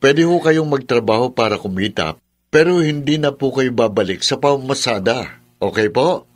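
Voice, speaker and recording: A man's voice: medium pitch, lively intonation, normal speed, lightly acted. A man playing a firm, protective young adult son and brother in a radio drama, insistent and questioning, with a Filipino accent. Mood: firm, caring. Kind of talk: Tagalog radio drama acting.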